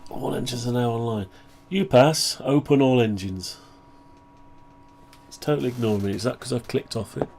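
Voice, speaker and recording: A man talking through a project update on his own software, rambling and thinking aloud, voice groggy from the flu. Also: medium pitch, moderate pace. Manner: rambling, thinking aloud, frequent throat clearing. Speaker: hobbyist maker showing his own software. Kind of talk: casual screen-share project update.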